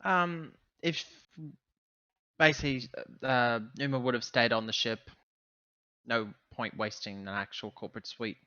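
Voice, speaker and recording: A man's voice: mid-range voice, steady tone, a little slow, pushed and bright, smooth, dull audio. A man chatting casually as a player in a tabletop roleplaying game. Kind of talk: casual game chat and questions.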